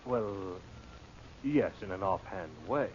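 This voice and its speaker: A man's voice: low-pitched, animated, takes it slowly. An actor playing a cocky, wisecracking impostor and reluctant henchman in an old radio drama, breezy, slangy and sardonic. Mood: matter-of-fact. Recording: dull, noisy old radio recording.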